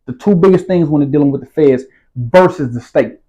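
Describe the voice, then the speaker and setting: Interview guest: man, slightly high voice, relaxed streetwise delivery, keeps checking in with the listener. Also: brisk, highs cut off. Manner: streetwise slang, repeats "you feel what I'm saying". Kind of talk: casual storytelling interview.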